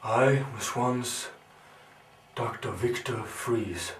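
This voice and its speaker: An actor playing an anguished, brooding scientist villain in a theatrical monologue, grandiose and melancholy, with halting dramatic pauses. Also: male voice, medium pitch, fairly bright tone. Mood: bitter, anguished. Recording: roomy, distant, slightly noisy recording.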